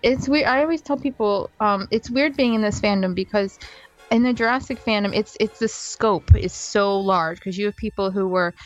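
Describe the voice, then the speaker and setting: A woman's voice: mid-range voice, moderate pace. A woman in her twenties, a podcast guest, chatting in a reflective, talkative way. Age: 20s. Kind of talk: casual podcast chat. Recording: muffled lo-fi audio with faint music.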